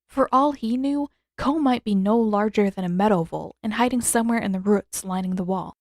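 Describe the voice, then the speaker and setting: A woman narrates a scripted story. Her voice is mid-pitched, and the recording is very dry, with no room sound. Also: fairly quick, dry recording. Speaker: audiobook narrator.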